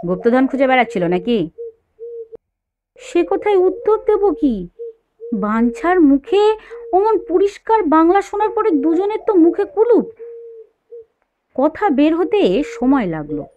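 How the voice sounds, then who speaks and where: A woman's voice: extremely high pitch, very animated, unhurried, pauses often, dark tone, dry recording. A woman reading a story as a dramatised, scripted narration. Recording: dull, faint noise.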